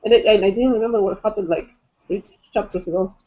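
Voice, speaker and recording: A woman's voice: mid-range voice, quick pace, dark tone, slightly rough, highs cut off. A woman co-hosting a casual, informal book chat, often repeating words as she talks, on livestream audio. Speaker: co-host of a book discussion livestream.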